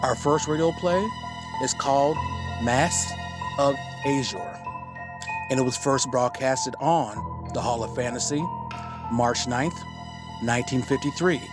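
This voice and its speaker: Slow-talking male podcast host. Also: medium pitch, loud music behind the voice. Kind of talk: casual podcast introduction.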